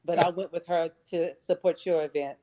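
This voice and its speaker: A woman's voice: fairly low pitch, fairly even intonation, normal speed, mellow. An earnest, polite woman calling in by phone to audition on a live online stream. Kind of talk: casual chat, then motivational recitation. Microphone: phone on a streaming call.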